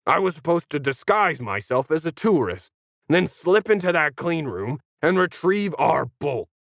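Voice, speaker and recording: A man performs a brash alien for a sci-fi storybook, snarky and slangy. The voice has some gravelly creak and sits close and dry, full of condescension. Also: on the high side, very animated, moderate pace, a bit bright, no echo. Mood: smug, pushy. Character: brash sarcastic tentacled alien, condescending and fast-talking. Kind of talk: acted sci-fi storybook dialogue.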